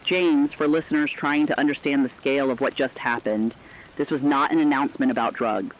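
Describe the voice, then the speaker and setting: Guy playing a serious radio war reporter, mid-pitched, clipped dramatic lines, kinda muffled lo-fi sound. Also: steady tone, brisk, slight background noise, lightly acted. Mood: sober, ominous. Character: sober male field correspondent reporting a looming war. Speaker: radio correspondent. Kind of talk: scripted emergency radio news report.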